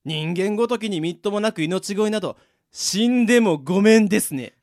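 A man's voice: sits high, animated, a little fast, darkish tone, dry recording, clean studio sound. A man playing a proud, sardonic demon beast in an anime-style radio drama, polite, composed and condescending. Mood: haughty.

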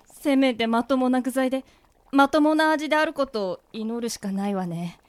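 A high-voiced young woman, played by an actress in a radio drama. She is sensible and a little exasperated, speaking like the scolding one in a group of friends. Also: normal speed, no echo, close to an everyday voice. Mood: sceptical. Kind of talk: amateur Japanese radio drama acting.

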